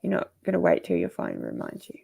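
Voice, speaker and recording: A middle-aged woman chats easily on a livestream and laughs often. Her voice is heavily gravelly and warm. She sounds relaxed and conversational. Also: fairly low pitch, fast talker. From Australia. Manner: chatty, laughs often, reads comments aloud. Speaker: host. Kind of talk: casual livestream chat.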